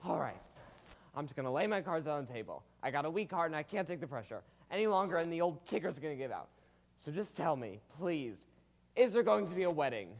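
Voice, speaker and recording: Man playing an anxious middle-aged producer, blustery, exasperated, lively pitch. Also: fast. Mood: pleading.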